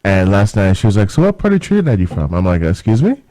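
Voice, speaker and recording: A man's voice: fairly low pitch, big pitch swings, fast, warm tone, dry recording. A man co-hosting a casual radio chat, easygoing and joking. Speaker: radio co-host.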